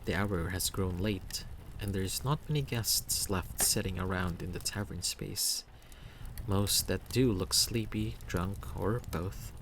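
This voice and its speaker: A man's voice: low-pitched, slow, fairly bright tone, slight background noise, low-quality recording. A man reading scripted narration from a story game aloud.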